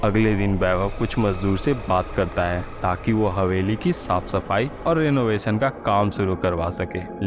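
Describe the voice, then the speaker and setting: A man's voice: fairly low pitch, background noise. A man narrating a scripted horror story as its storyteller. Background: music.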